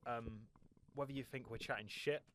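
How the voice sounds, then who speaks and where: A man's voice: medium pitch, moderate pace, slight background noise. A young man, co-hosting a casual film podcast in a rambling, easygoing way. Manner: relaxed, rambling, laughs often, swears casually. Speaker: podcast co-host.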